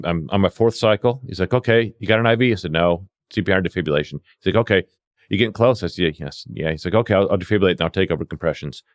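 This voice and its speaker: A man's voice: on the low side, no room sound. A man working as a paramedic and instructor, telling anecdotes conversationally and acting out the dialogue. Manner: acts out exchanges with quoted dialogue. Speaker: paramedic and CPR instructor telling field stories. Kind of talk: animated anecdotal storytelling in conversation.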